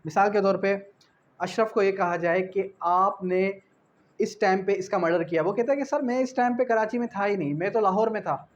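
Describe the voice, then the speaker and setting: A man's voice: high voice, normal speed, highs cut off, slight background noise. A man with a Pakistani accent teaching like an exam-prep law tutor, didactic, repeating key phrases and posing rhetorical questions, switching into English terms. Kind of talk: exam-prep lecture mixing Urdu with English legal terms.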